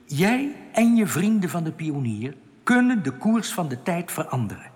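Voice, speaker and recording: Solemn rebel in cavernous reverb. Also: male voice, high-pitched, big pitch swings, normal speed, darkish tone. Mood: solemn urging. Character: solemn male underground rebel giving grave warnings. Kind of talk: radio drama acting. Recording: long echoing reverb.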